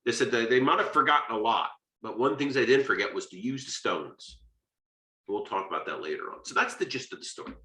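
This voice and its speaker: A man's voice: medium pitch, animated, fairly quick, bright, forceful tone, reverberant. An American man giving an informal literature lecture, conversational and emphatic, checking his points with short rhetorical questions. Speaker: literature lecturer and video host.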